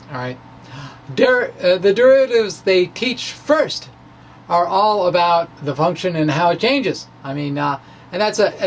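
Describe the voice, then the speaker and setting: Higher-voiced man explaining, noisy recording. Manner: halting, rambling, colloquial, with wry asides and chuckles. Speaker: amateur physics blogger presenting his work. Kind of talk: informal spoken physics explanation, dramatic reading of his blog. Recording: clear background noise.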